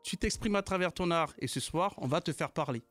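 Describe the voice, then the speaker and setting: A man's voice: on the high side, a little fast, fairly bright tone, soft background music. A man hosting a radio culture show from a studio, jovial and teasing, laughing often with his guests.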